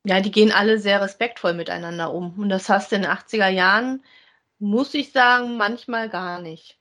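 A woman's voice: mid-range voice, a little fast, fairly bright tone. A woman co-hosting a podcast, talking casually and conversationally as she reviews audio dramas with a partner. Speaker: podcast co-host.

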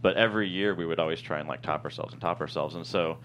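Very deep-voiced man, halting, over humming noise. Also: a bit bright, lo-fi sound. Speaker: screenwriter guest being interviewed. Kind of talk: casual conversational interview. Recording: noisy room with hum.

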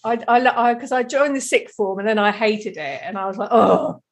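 A woman's voice: medium pitch, normal speed. A middle-aged woman from Kent with a southern English accent, chatting casually and reminiscing in a rambling, easily amused way. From Kent, England.

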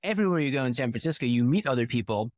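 A man's voice: medium pitch, rapid-fire. Fluent man telling his founder story. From United States (Boston). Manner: fluent, animated storyteller who poses rhetorical questions. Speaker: podcast guest, company founder. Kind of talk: casual interview storytelling.

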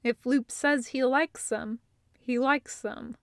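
Female audiobook narrator, higher-pitched, very slow measured read, understated delivery. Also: steady tone, a bit bright, dry recording, studio quality. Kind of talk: scripted fan fiction narration.

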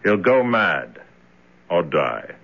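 Slow, low, detached overseer. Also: male voice, very animated, vocal fry, fairly bright tone, full character voice. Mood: detached calm. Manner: unhurried, detached, matter-of-fact. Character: calm, resigned male overseer of a grim prison. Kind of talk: 1970s radio drama acting. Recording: dull old radio recording.

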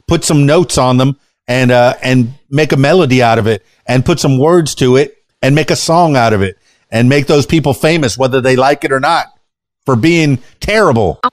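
A man's voice: medium pitch, moderate pace, dry recording, studio quality. A man hosting a podcast interview, chatting loosely and rambling with frequent restarts. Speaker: podcast host.

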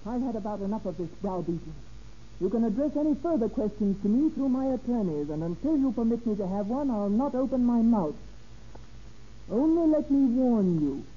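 Casting: proud, defiant accused woman in vintage radio crime play, high voice, imperious denials, muffled period recording. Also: lively intonation, moderate pace, slightly rough. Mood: cold, threatening. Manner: indignant, imperious, clipped denials. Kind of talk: 1930s radio crime drama acting. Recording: dull, lo-fi old radio recording.

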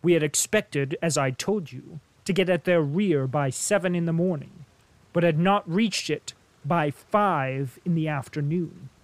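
A man doing an earnest young officer in an audiobook, measured and a little frustrated. The voice is high and the pace slow. The sound is dry. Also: no echo.

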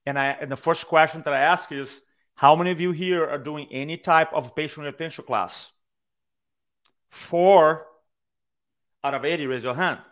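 Man with a brazilian accent, speaking coach, lively storytelling, slightly high voice, video call. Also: normal speed, pauses often. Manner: animated storyteller, acts out quotes. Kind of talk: podcast interview. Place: his own room on a video call.

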